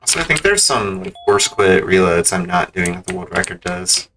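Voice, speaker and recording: A man's voice: deep voice, lively intonation, moderate pace, background noise. A man streaming a speedrun with casual, rambling, self-critical commentary.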